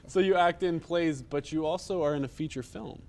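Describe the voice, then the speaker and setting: A man's voice: a little high, brisk, darkish tone. A young man hosting a college talk show, upbeat and chatty, laughing often. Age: young adult. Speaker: host. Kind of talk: casual interview. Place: college studio set.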